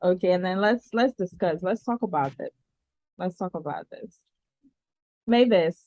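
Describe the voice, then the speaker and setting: A woman hosting a casual live online talk show, unhurried and filling pauses with ums. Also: lowish voice, animated, a little mellow.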